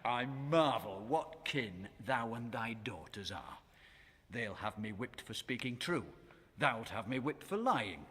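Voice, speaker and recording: Impudent jester with leaping intonation. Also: male voice, mid-range voice, big pitch swings, takes it slowly, a bit bright, breathy edge, exaggerated voice. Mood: teasing, sly. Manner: teasing, sing-song, mischievous. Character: impudent, riddling court jester mocking his master. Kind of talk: classical Shakespearean radio drama acting.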